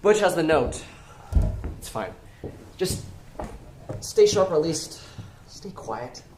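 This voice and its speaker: A woman's voice: low-pitched, big pitch swings, slow, darkish tone, heavily acted. A woman acting a guarded, menacing crime schemer in an amateur stage play, speaking tersely. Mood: controlled, menacing. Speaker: actor in a one-act play. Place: indoor stage. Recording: echoey room with faint noise.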